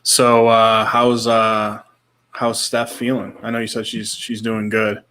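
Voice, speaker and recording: A man's voice: lowish voice, completely flat delivery, slow, pushed and bright. A man in his thirties co-hosting a casual podcast, talking in a laid-back, slangy way with short repeated reactions, sometimes reading out comments. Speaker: podcast co-host.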